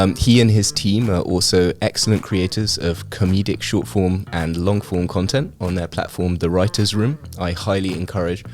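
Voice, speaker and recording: A man's voice: low-pitched, darkish tone. A man hosting a studio video podcast interview, with a dry, teasing sense of humor. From Hong Kong. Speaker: podcast host. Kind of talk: casual interview.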